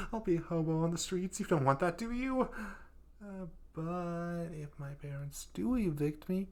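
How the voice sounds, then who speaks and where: Man acting a flustered, clingy young yandere guy, creaky voice. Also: a little high. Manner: flustered, stammering, pleading, melodramatic. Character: hapless, clingy young male yandere student, sweet and desperate. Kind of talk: comedic audio roleplay addressed to the listener.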